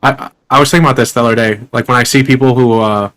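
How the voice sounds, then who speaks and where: Fast-talking young man, rambling host. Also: medium pitch, fairly even intonation, a bit bright. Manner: rambling, many fillers and restarts. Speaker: podcast host. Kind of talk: casual interview.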